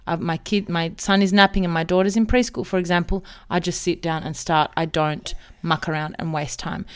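A woman's voice: lowish voice, clear, resonant tone. A woman in her thirties hosting her own podcast, talking candidly and chattily about her life in a solo monologue. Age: 30s. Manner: candid, chatty, self-reflective. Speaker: podcast host.

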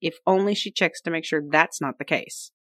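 A woman's voice: sits low, moderate pace, a bit bright, dry recording, studio quality. A woman co-hosting a podcast, explaining things in a casual discussion. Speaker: podcast co-host.

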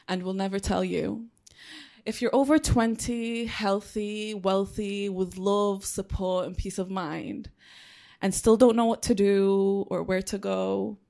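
A woman's voice: mid-range voice, creaky, darkish tone, no echo, studio quality. Young woman reciting spoken word. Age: 20s. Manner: rhythmic, emphatic recital. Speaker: spoken word poet on a panel. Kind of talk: live spoken word performance.

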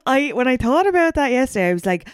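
A woman's voice: high voice, sing-song, fast, smooth, dry recording. An Irish woman co-hosting a podcast in casual banter, teasing and swearing freely. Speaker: podcast co-host.

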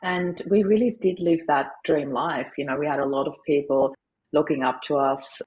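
A woman in her forties with an Austrian accent, a grief coach telling her life story as a podcast guest, animated and laughing often. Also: deep voice, normal speed, husky. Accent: Austrian German. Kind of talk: conversational interview storytelling.

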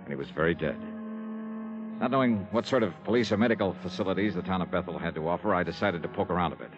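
An actor playing a worldly, hard-boiled investigator in a 1960s radio drama, wry and matter-of-fact. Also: male voice, low voice, quick pace, lightly acted. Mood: wry, grim. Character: worldly, hard-boiled male insurance investigator telling his case. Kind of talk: 1960s radio detective narration and dialogue. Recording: muffled old radio broadcast, music behind.

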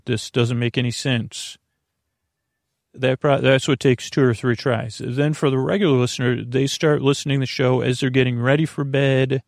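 Male bedtime podcast host, heavy vocal fry, meandering and stammering soothing delivery, very dry studio sound. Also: mid-range voice, a little mellow, no room sound. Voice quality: creaky. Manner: meandering, stammering, trailing off and losing his thread. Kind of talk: rambling, informal bedtime podcast talk to listeners.

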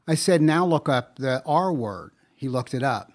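A middle-aged or older American man tells an anecdote in a conversational, deliberate way, pausing as he goes. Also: mid-range voice, lively intonation. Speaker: talk show participant. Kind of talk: conversational storytelling.

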